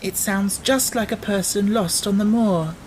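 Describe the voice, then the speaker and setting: A man's voice: high voice, unhurried, slight background noise, pitched above the performer's own voice. A man voicing a contrary, determined young girl with a blunt, insistent manner for a storybook. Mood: hushed, intent. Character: contrary, stubborn ten-year-old girl, angry and determined.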